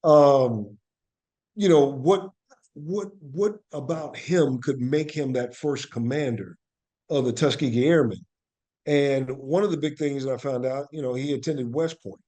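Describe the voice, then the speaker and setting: A man with a medium-pitched voice talks as an interview guest. He is earnest and reflective, explaining in a conversational storytelling way and repeating key phrases for emphasis. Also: measured pace, mellow. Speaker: interview guest, actor and playwright.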